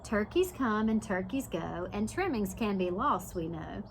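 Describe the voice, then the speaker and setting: A woman voicing a gentle, wise storybook grandmother who speaks in a measured, reassuring way. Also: mid-range voice, expressive, vocal fry, a little hiss or hum. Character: gentle, wise grandmother leading a family prayer.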